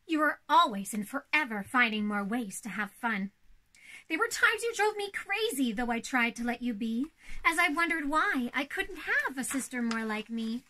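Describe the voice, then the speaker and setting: A woman's voice: sits high, expressive, normal speed, pushed and bright, rough recording. A young woman playing an elegant, proper older-sister princess in a children's storybook read-aloud, theatrical and poised. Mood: earnest, prim. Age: young adult.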